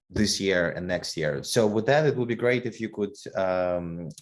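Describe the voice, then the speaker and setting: A man hosting a podcast interview, talking conversationally with frequent fillers. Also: low-pitched. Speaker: podcast host. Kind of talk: casual interview talk.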